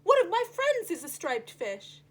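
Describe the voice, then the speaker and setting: A woman's voice: very high voice, huge pitch swings, measured pace, heavily acted. A woman voicing a young male rainbow fish in a storybook, earnest, polite and childlike. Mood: hopeful.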